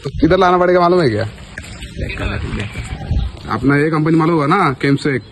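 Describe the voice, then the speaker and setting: A man's voice: a little high, moderate pace, fairly bright tone, a little hiss or hum. Young Maharashtrian man, casual phone talk. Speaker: prank caller in a vlog.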